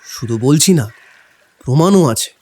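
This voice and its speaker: Grave expounder of folk lore, animated pitch. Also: male voice, unhurried. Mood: firm, probing. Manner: explanatory, emphatic, rhetorical questions. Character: grave, knowledgeable man explaining village folk belief. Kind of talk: Bengali horror audio drama acting.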